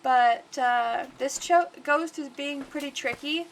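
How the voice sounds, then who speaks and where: Woman streamer, stuffed-up nose, high voice, chatty rambling play-by-play. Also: slow pace, a little hiss or hum. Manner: chatty and rambling, talks to the game aloud. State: congested. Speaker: livestreamer. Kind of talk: casual gameplay commentary.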